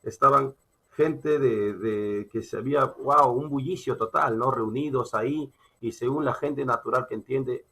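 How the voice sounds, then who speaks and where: A man with a Peruvian accent preaching a sermon, emphatic and halting, repeating phrases as he teaches from scripture. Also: mid-range voice, fairly bright tone, breathy edge. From Peru. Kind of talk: sermon with Bible reading and personal testimony.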